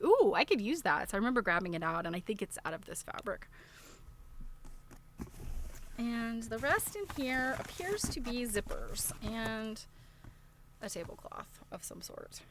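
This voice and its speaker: A woman with a bright, forward voice at an everyday female pitch hosts a casual livestream unboxing. She talks in a chatty, meandering way, thinking out loud while presenting things and checking in with her viewers. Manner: rambling, thinking aloud; chatty show-and-tell, asking viewers questions. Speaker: livestream host.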